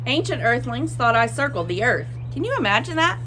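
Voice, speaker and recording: A woman voicing a boastful, playful Sun from a picture book, theatrical and bragging with drawn-out emphasis. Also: on the high side, very animated, noisy background. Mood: smug, playful. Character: boastful, playful Sun, a cheerful showboating star. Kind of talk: expressive picture-book read-aloud.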